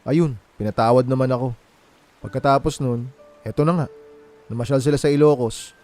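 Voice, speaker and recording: A man's voice: medium pitch, a little fast, mellow, close to an everyday voice. Actor as a heartbroken young Filipino man, music behind. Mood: resigned. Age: young adult. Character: heartbroken young man, betrayed and wronged boyfriend. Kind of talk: Filipino radio drama acting.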